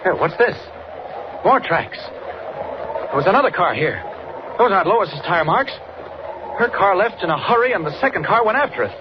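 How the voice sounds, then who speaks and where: An earnest, excitable reporter hero in a 1940s radio serial. The voice is high and keen. The recording is dull, with clear background noise. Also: male voice, normal speed, long pauses. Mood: musing. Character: earnest, excitable young male reporter, secretly a superhero.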